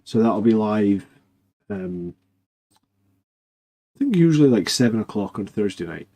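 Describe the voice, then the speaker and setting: Man with a fading voice, speaking quietly. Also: lowish voice, sing-song, measured pace, creaky. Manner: rambling, with many fillers and restarts. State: losing his voice, speaking quietly. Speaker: host of a live army list show. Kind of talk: casual live stream chat.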